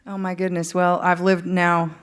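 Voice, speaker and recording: A woman singer-songwriter from the Upper Midwest, answering interview questions on stage in a relaxed, hesitant way. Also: fairly low pitch, moderate pace, clear, resonant tone. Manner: relaxed, hesitant, laughs easily. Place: stage in a concert hall.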